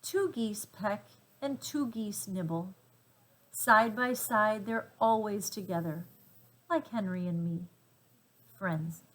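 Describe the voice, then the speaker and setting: A woman reading a children's storybook aloud with gentle, deliberate phrasing and dramatic emphasis. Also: mid-range voice, low-quality recording. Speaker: storybook reader.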